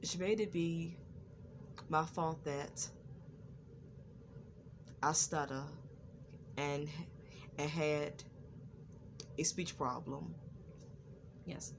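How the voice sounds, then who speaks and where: Slow-talking woman with a stutter. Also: low-pitched, fairly even intonation, noisy background. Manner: repetitive, circling back over the same phrases. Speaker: vlogger. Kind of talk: personal testimony vlog.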